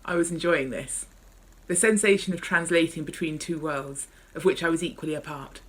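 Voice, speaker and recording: A woman's voice: deep voice, brisk. A woman author speaking and reading from her memoir in a wry, understated way.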